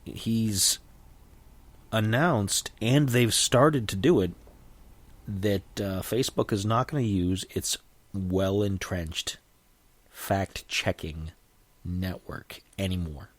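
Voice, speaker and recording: A man's voice: lowish voice, slow, pauses often. A middle-aged man hosting a solo podcast, sniffly from a cold, rambling and opinionated with frequent restarts. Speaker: podcast host.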